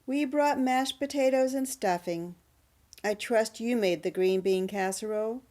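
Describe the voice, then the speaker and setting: A woman's voice: on the high side, measured pace, mellow, natural voice. A woman playing a bossy, particular sister who takes charge of the cooking, in a radio drama.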